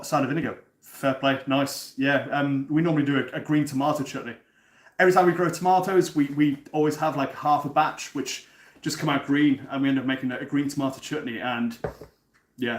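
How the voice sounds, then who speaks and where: A man's voice: medium pitch, steady tone, fast talker, echoey room. A man in his thirties from North Wales, chatting casually with viewers while he cooks on a live stream. He often repeats words and restarts his sentences. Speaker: cooking stream host. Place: home kitchen.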